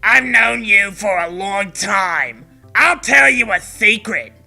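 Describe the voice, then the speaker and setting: A man voicing a sadistic video game demon, mocking and menacing, with theatrical taunts in a creaky, strident voice. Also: sits high, lively intonation, slow, sharp tone, faint music behind, exaggerated voice. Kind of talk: hammy creepypasta villain reading.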